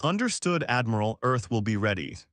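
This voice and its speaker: A man's voice: medium pitch, very animated, fairly quick, a bit bright, dry recording. A resolute woman commander of a resistance force, read by a male narrator, speaks in terse military phrases. The sound is dry and close, from an audio space war story. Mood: determined.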